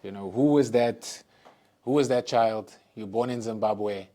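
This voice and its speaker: Male interview host with a low voice; gentle, easygoing questioning. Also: a little slow. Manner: soft-spoken, leans on "you know". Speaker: podcast host. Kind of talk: casual interview chat.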